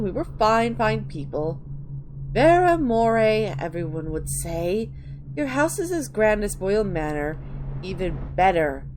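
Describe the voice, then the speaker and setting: A woman voicing a sinister, rambling old woman who reminisces cloyingly in a dramatic reading. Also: fairly low pitch. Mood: wistful, boastful. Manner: cloying, calls listener dearie. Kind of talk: dramatic reading of a game journal. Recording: clearly noisy home recording.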